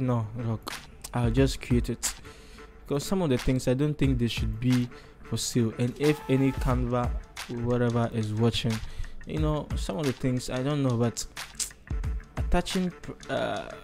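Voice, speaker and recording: A man with a Ghanaian accent talks through a casual screen tutorial. He is chatty and rambles a little, thinking aloud in a middling pitch. Music plays clearly behind his voice. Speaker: tutorial host.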